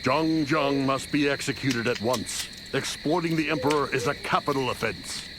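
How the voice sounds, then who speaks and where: An actor playing a proud, principled noble officer in a video game, speaking in a formal, courtly and earnest way. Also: male voice, medium pitch, moderate pace, noisy background, close to an everyday voice. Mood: indignant. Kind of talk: dramatic video game acting.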